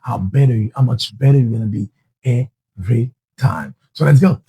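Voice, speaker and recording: A husky-voiced man coaches his listeners in a podcast. He talks earnestly and often restarts his phrases. The sound is dry and close. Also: mid-range voice, normal speed, dark tone, no echo. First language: Haitian Creole. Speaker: podcast host. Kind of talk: motivational podcast talk.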